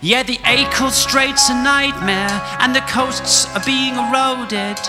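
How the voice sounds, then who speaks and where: A man singing his own folk song with drawn-out phrasing. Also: very high voice, over music. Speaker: songwriter.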